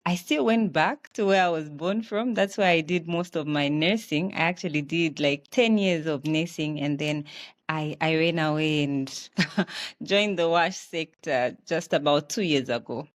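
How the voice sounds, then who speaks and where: Female interview guest, Zambian accent, slightly low register, conversational storyteller. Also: measured pace. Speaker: interview guest, health professional.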